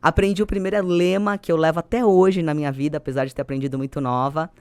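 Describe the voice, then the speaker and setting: Casting: Brazilian female executive as podcast guest, smooth clear voice, dry close sound, conversational storyteller. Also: low-pitched, fairly even intonation, fast talker, darkish tone, dry recording.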